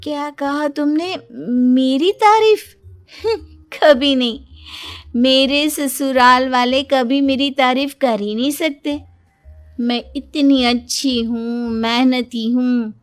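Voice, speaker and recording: A woman acts out a young Indian daughter-in-law from a cartoon family drama. The voice is high and slow, whining theatrically, full of self-pity and self-praise. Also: a bit bright.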